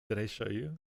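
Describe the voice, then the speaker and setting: Casual male game streamer, slightly creaky voice. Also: on the low side, darkish tone, no echo, studio quality. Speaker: live streamer and host. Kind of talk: casual live chat while gaming. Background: game music.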